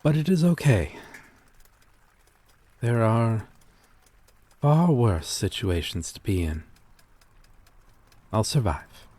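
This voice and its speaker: A man playing a gentle, weary, lonely vampire in an intimate ASMR roleplay, speaking in hesitant, formal, confessional phrases. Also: lowish voice, big pitch swings, slow, long pauses, no echo, heavily acted. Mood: remorseful, confessional. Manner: hesitant, confessional, formal old-fashioned wording.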